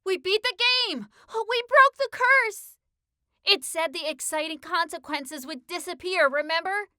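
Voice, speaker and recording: A man voicing an emotional, awestruck sixteen-year-old girl with a breathy delivery in an audiobook. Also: very high-pitched, animated, unhurried, sharp tone, dry recording, studio quality, pitched above the performer's own voice, heavily acted. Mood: jubilant.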